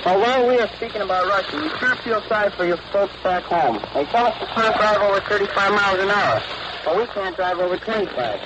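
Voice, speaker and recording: A man's voice: high-pitched, lively intonation, fast, background noise. A man, an American seaman, doing scripted banter and greetings over a garbled 1940s shortwave relay. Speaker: American seaman serving overseas.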